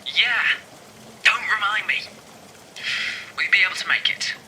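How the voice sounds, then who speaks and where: A man's voice: high voice, normal speed, noisy background. A man playing a casual young student friend in an audio drama, heard through a telephone.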